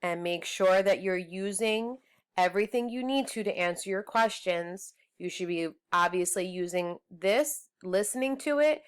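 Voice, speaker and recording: Slow-paced woman reading a storybook aloud. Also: mid-range voice, smooth. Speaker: teacher reading aloud to pupils. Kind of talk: storybook read-aloud narration.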